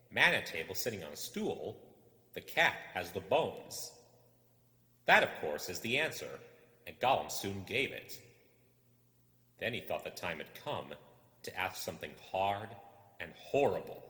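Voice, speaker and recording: A man reading a story aloud as dramatic narration, expressive and suspenseful. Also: lowish voice. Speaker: amateur audiobook reader. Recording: echoey hall-like reverb.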